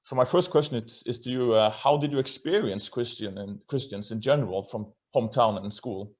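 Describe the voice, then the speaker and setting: A man's voice: mid-range voice, fairly quick, a little mellow. A Norwegian man speaking English as a non-native, hosting a casual video-call interview in a chatty, self-deprecating way with many restarts. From Norway. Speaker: host.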